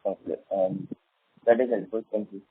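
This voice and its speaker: A man with a husky voice asks spontaneous questions as a caller on a conference call. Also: fairly low pitch, steady tone, moderate pace, dry recording. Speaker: investor caller asking questions. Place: conference call over phone line. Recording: dry, narrow tinny phone line.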